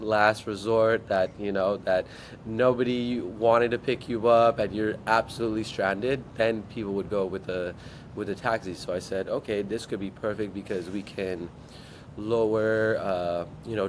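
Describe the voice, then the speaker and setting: A man from Guam, a startup founder, telling his story in an interview in a relaxed way and acting out his past thoughts. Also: on the low side, slight background noise. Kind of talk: interview answers.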